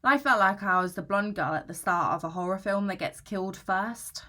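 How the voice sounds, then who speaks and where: Young British female student, played by an actress: anxious, aggrieved, self-justifying, mid-pitched, natural conversational storytelling for a dramatic monologue. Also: normal speed, sharp tone, lightly acted. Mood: exasperated. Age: young adult. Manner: chatty, slangy, self-justifying.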